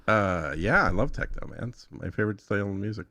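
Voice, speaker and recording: A man's voice: on the low side, expressive, brisk, vocal fry, pushed and bright, a little hiss or hum. A man talking casually as a guest giving a tutorial, thinking aloud in a matter-of-fact way.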